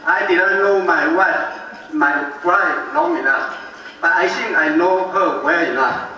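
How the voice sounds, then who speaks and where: An older man with a heavy Taiwanese accent, a native Taiwanese speaker, gives a slow, halting father-of-the-bride wedding toast full of self-deprecating humor. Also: on the high side, bright, forceful tone. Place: large banquet hall. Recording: echoey banquet hall from far off with faint background noise.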